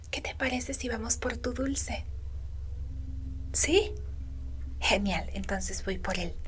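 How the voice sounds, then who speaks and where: A woman whispers slowly and breathily in an ASMR roleplay, playing a gentle magical faun companion. She speaks tenderly and soothingly, straight to the listener. A light noisy ambience sits behind her voice. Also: medium pitch.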